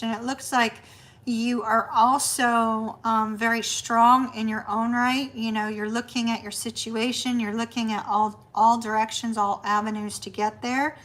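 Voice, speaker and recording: A middle-aged woman, chatty and reassuring as she reads tarot on a live stream, her voice going a bit hoarse. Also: on the high side, slight background noise. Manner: chatty, warm reassuring delivery, says y'all. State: losing her voice. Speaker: tarot reader.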